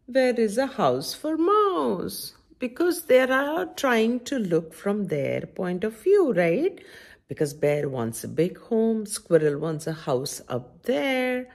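Expressive, slow-paced woman reading to children. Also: medium pitch, vocal fry. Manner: expressive, questioning, addressing children directly. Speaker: children's story time host. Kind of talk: read-aloud picture book storytime for young children. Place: indoor room at home by a window.

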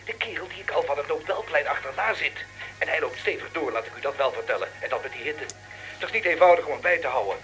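An actor playing an excitable, stubborn rookie radio reporter in a radio drama, rambling and stammering urgently down a telephone line. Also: male voice, a little high, fast, music in the background. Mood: excited, rambling.

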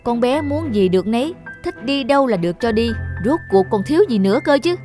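A woman's voice: a little high, lively intonation, fairly quick, warm tone, faint music behind, close to an everyday voice. A woman voicing an irritable, self-important husband and father in a cartoon, blunt and dismissive. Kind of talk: cartoon dubbing.

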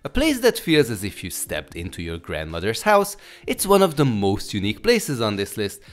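A man with a Hungarian accent narrates a scripted travel guide. His voice sits fairly low but swings very widely in pitch, over background music.

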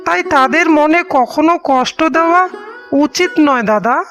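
A woman playing a kindly younger brother in a cartoon melodrama, giving sensible advice with gentle, deliberate admonishment. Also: high-pitched, lively intonation, soft background music. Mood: gentle admonishing. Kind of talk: slow melodramatic cartoon dialogue.

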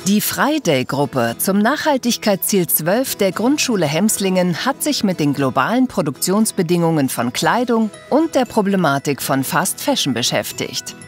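A woman's voice: on the low side, sing-song, moderate pace, few pauses. A woman reading scripted documentary narration as a narrator. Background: background music.

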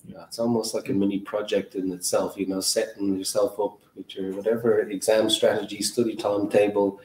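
A man's voice: fairly low pitch, little pitch movement, brisk, a little mellow. A man moderating a webinar panel conversationally. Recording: roomy, echoey sound.

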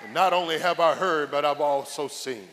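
A man in his thirties preaching an animated, fervent sermon as a pastor, repeating phrases rhythmically for emphasis. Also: on the high side, normal speed. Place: large church auditorium stage. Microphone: handheld microphone. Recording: noisy large hall with long reverb.

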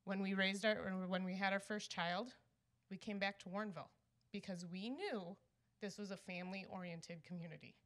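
A woman's voice: medium pitch, steady tone, moderate pace, fairly bright tone. Nervous woman in her thirties speaking publicly. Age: 30s. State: nervous, shaky voice. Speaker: resident and teacher speaking at public comment. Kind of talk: public comment at a meeting.

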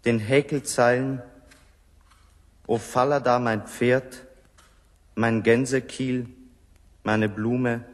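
Slow man reciting poetry in a reverberant hall. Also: mid-range voice. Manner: measured, line by line. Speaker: reader of poetry. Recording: long reverberant hall echo.

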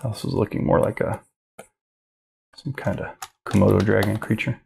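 A man's voice: on the low side, steady tone, moderate pace, vocal fry, a little mellow, a little hiss or hum. A man in his thirties thinking aloud as he demonstrates digital drawing, casual and rambling. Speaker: art instructor. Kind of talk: live drawing demo narration. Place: home studio office.

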